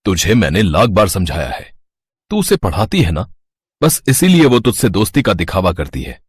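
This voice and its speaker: A worried father warns his daughter in a deep, raspy voice. His pitch rises and falls a lot. The sound is dry and close. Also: wildly swooping pitch, normal speed, no room sound, full character voice. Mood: warning. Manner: pleading, warning. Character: poor worried middle-aged father, protective.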